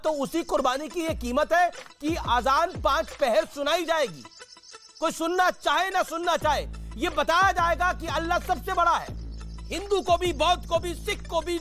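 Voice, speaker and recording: Very high-pitched, insistent male debate host. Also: moderate pace, bright, forceful tone, faint music behind. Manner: insistent, repetitive questioning. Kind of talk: combative debate moderation.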